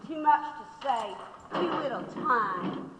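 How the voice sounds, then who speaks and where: A woman's voice: on the high side, lively intonation, slow pace, noisy background. An actress playing a defiant, sarcastic woman activist in her sixties in a radio drama. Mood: sarcastic, needling. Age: 60s. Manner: sarcastic, mocking, oratorical. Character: defiant, sharp-tongued 66-year-old woman activist under arrest. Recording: reverberant hall-like room.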